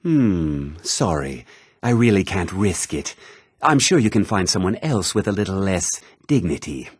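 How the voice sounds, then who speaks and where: An actor playing a haughty, self-important nobleman in a fantasy video game, with a husky voice and a pompous, condescending, theatrical delivery. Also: deep voice, big pitch swings, a little slow, no room sound, clean studio sound, exaggerated voice. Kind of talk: scripted fantasy game dialogue lines.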